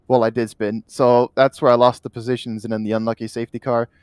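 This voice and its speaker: A man's voice: mid-range voice, level tone, quick pace, darkish tone, clean tone, dry recording, clean studio sound. A man from Belgium, a sim racing driver, chatting casually and modestly in an interview over online voice chat.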